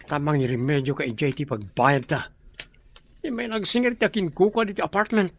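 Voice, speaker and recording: A man's voice: a little high, normal speed, slight background noise, close to an everyday voice. An actor playing a boastful, greedy young man in an Ilocano radio drama, with an Ilocano accent and a smug delivery.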